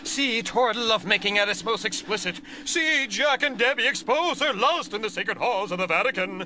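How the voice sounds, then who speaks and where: A man's voice: high-pitched, lively intonation, moderate pace, pushed and bright, slight background noise, exaggerated voice. An actor playing a wisecracking young American backpacker in a radio drama, girl-crazy, jittery and sarcastic. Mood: sarcastic, joking. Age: young adult. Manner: sarcastic, joking. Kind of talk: naturalistic radio drama dialogue.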